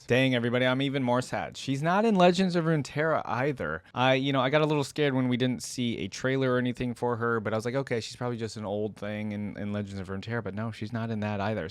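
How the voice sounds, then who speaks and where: A man's voice: medium pitch, fast, no echo. A man hosting a reaction video in an informal, chatty style, commenting and reading lore aloud for viewers.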